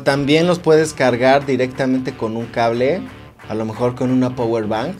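A man's voice: mid-range voice, a little mellow. A man with a Mexican accent giving a casual, conversational product review to viewers, explaining things informally as a tech vlog host.

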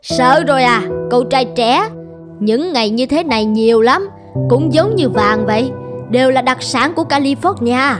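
A woman's voice: high voice, expressive, normal speed. A woman playing a haughty, mocking middle-aged tavern landlady in a cartoon. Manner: dismissive, mocking. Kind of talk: cartoon dub acting. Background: music.

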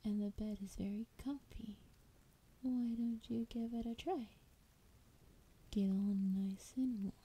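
A woman playing a lonely, ancient female dragon, tender and teasing, in a breathy, intimate whispered ASMR roleplay. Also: on the high side, fairly even intonation, warm tone, exaggerated voice. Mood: tender, welcoming. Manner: slow, coaxing, affectionate. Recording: clear background noise, ambience.

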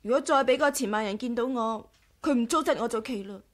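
A woman with a Hong Kong Cantonese accent playing a sharp, cautious adventuress in a 1980s radio drama. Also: on the high side, fast, lightly acted. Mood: wary.

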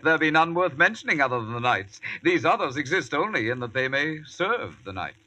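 Young medieval page: lightly high voice, crisp forceful edge, archaic diction, eager to serve, old-time radio acting. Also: male voice, very animated, a little fast, exaggerated voice, low-quality recording. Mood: earnest, puzzled. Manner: archaic courtly speech, earnest. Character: eager, deferential high-born youth serving as medieval page.

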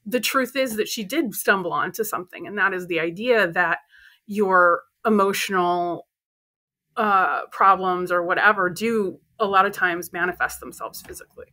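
A woman's voice: medium pitch, moderate pace. A woman in her thirties chatting casually as a podcast co-host, telling stories and acting out the voices of the people she quotes, speaking from home through an earbud mic on a video call. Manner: animated storytelling, acts out quoted voices. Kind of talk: casual chat. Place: home room with bookshelves and poster. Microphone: earbud headset on video call.

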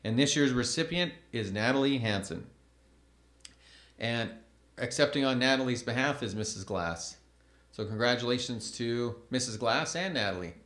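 A man in his thirties reads award citations at a podium, his middle-pitched voice measured and formal. The room rings around him with a roomy echo, as on a school event stage. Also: animated, a bit bright. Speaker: awards ceremony announcer. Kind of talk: reading award announcements. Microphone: podium microphone.

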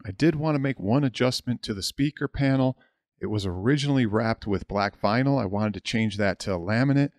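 A man's voice: mid-range voice, fairly quick, darkish tone, no room sound, studio quality. A middle-aged American man narrating a DIY build as a hobbyist, in a plain, matter-of-fact explanatory voice-over. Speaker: hobbyist video host.

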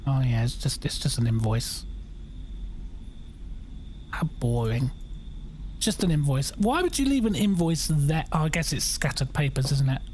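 Croaky gamer chatting. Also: male voice, medium pitch, sing-song, moderate pace, pauses often, a little mellow, slight background noise. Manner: chatty, jokey, reacting aloud. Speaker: gaming YouTuber. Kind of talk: casual let's-play commentary.

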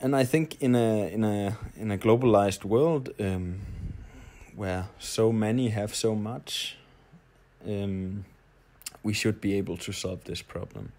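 A man in his late twenties from Denmark with a Danish accent, telling his story in a halting, hesitant audio-blog monologue. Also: on the low side, a little mellow. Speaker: traveler, audio blogger.

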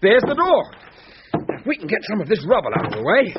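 An actor playing a wry, practical British husband in a radio thriller, brisk and questioning. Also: male voice, sits high, wildly swooping pitch. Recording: muffled recording with background noise.

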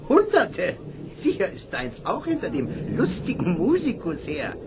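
Merry, slow-talking rambling old man. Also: sits high, wildly swooping pitch, heavily acted. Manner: chuckling, exclaiming, rambling. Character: merry, rambling old townsman with weak legs. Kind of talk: German fairy-tale radio play. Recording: very noisy old audio-play recording.

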